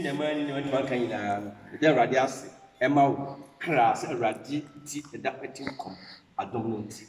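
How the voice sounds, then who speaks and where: A man with a Ghanaian accent preaching a sermon, swinging between quiet prayer and shouted call-and-response. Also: on the high side. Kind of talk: sermon mixing Twi and English. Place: large church hall. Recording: echoey hall, far from mic, music and congregation.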